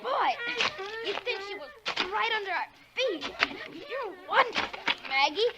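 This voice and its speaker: A woman's voice: very high-pitched, sing-song, a little slow, exaggerated voice. A woman playing a skeptical, cheeky ten-year-old American boy with blunt, slangy talk in a 1940s radio drama. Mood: impressed, eager. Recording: noisy 1940s radio recording.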